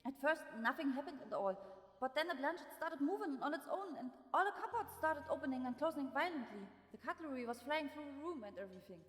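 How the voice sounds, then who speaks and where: A woman's voice: sits high, animated, fairly quick. A woman playing a frightened, pleading teenage girl in a dramatised horror story, speaking frantically. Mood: frantic. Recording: echoey with long reverb tail.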